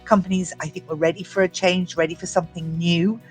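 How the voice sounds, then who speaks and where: A woman in her fifties, from the UK with an English accent, telling her story as an entrepreneur in a measured, articulate way. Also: on the low side, flat delivery, soft background music, rough recording. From United Kingdom. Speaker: guest entrepreneur. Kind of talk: prepared personal story.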